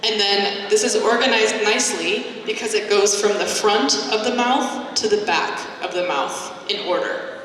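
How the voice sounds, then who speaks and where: An American woman speaks slowly and patiently as she gives a lecture in a large hall. Her voice is recorded from far off and echoes heavily, with a long reverb trailing each word. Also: medium pitch, a crowd in the background. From United States. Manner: slow, patient, demonstrating sounds aloud. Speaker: English teacher trainer. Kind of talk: phonetics lecture at a teacher conference.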